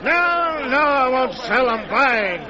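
An actor playing a frantic, stubborn gold speculator shouting insistent bids in a 1940s radio drama. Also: male voice, extremely high pitch, lively intonation, slow pace, heavily acted. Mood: frantic, defiant. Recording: muffled old radio, faint crowd.

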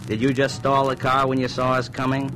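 An actor playing a brash, smooth-talking small-time American hoodlum in an old-time radio drama. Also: male voice, medium pitch, monotone, a little fast, noisy background, close to an everyday voice. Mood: pushy.

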